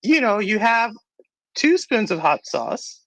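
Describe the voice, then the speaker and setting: A woman host chatting on a live stream, her pitch swinging up and down in lively jumps. She talks to the viewers, laughs often, and her voice sounds dry and close to the mic. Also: slow pace, no echo. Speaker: podcast host. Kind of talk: casual live-stream chat.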